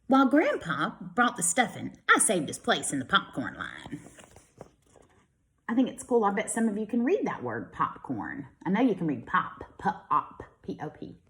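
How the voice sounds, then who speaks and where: A woman's voice: mid-range voice, wildly swooping pitch, normal speed, a bit bright. A woman reads a storybook aloud like a teacher to young children, expressively, with casual asides. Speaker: kindergarten teacher reading to pupils.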